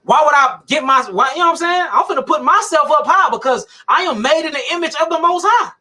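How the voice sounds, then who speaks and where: A man's voice: extremely high pitch, expressive, quick pace, pushed and bright. A man giving an emphatic, preachy monologue about health, speaking colloquially, repeating his points and laughing often.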